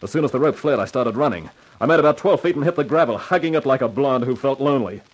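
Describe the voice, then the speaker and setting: Man playing hardboiled wisecracker, mid-pitched, wry, in command, 1950s radio drama, slightly noisy vintage recording. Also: expressive, lightly acted. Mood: tense narration. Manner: wry, unhurried, tough-guy delivery. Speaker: lead actor and narrator in a crime radio drama. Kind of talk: 1950s radio acting, hardboiled first-person narration.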